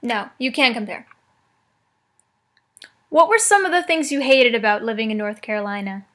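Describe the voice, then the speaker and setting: A woman's voice: on the high side, moderate pace, a bit bright. A woman making a prank phone call, talking in a mock-serious, teasing way. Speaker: prank caller.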